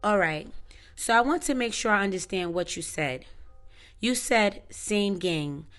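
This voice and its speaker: A woman questions a witness in court in a formal, methodical way and keeps her questions exact. Her voice sits at a middle pitch and sounds smooth and clear. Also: expressive, unhurried, fairly bright tone, soft background music. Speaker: prosecutor.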